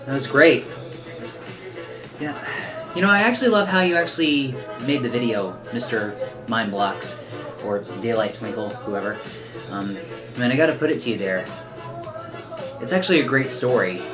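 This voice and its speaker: A man's voice: mid-range voice, normal speed. A 21-year-old man giving casual, blunt reaction commentary with some swearing. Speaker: reaction channel host. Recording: music behind the voice. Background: music.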